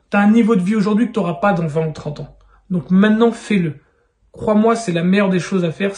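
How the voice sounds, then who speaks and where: A man in his thirties with a fairly high voice talks straight to the viewer. He sounds urgent and persuasive, and keeps pressing his point. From France. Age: 30s. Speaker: real estate YouTuber. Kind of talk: direct-to-camera advice vlog.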